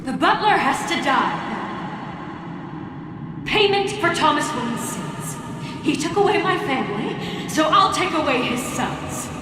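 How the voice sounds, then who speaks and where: An actress playing a vengeful masked villain in a video game, self-righteous and menacing, with a theatrical, taunting delivery. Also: female voice, high-pitched, sing-song, measured pace. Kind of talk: video game cutscene acting. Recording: distant, heavily echoing hall, clear background noise.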